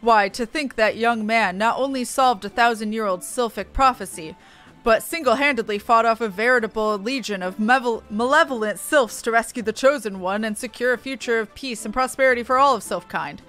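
Woman playing a hearty, grandiose officer, high-voiced. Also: fairly quick, a bit bright, faint music behind, lightly acted. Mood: hearty, effusive.

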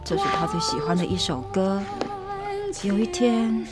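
A woman's voice: mid-range voice, moderate pace, close to an everyday voice. A woman acting a grieving middle-aged mother in a radio drama. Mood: sorrowful. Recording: radio drama with loud music underneath.

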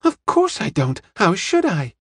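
A man's voice: high voice, huge pitch swings, unhurried, breathy edge, dry recording, voice pushed up, heavily acted. A man voicing an earnest, puzzled young woman in an audiobook, with an eager, questioning manner. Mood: amazed.

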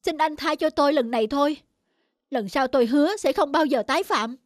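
A woman's voice: high voice, a little fast, dry recording, studio quality, natural voice. A woman voicing an anxious middle-aged cartoon delivery man with a northern Vietnamese accent, stammering, apologetic and imploring. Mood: pleading. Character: anxious, pleading middle-aged male pizza delivery driver. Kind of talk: cartoon dubbing. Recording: music under the dialogue.

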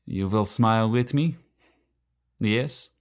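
An actor playing a fiery, wounded Slovak immigrant night watchman in a radio play, with a Slovak accent and halting, broken phrases. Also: lowish voice. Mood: brooding.

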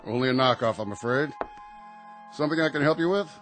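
A man's voice: medium pitch, fast, a bit bright, soft background music, natural voice. An actor playing a polite, cautious male shopkeeper in a radio drama. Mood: wary.

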